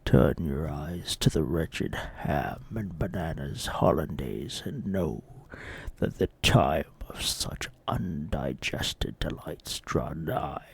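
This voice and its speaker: A man voicing an ominous eldritch herald in mock horror narration, gravelly and hushed, with pompous, archaic phrasing. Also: a bass voice, expressive, slow pace, no echo, pitched below the performer's own voice, heavily acted. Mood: sinister, foreboding. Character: ominous eldritch herald of forbidden, horrific dishes.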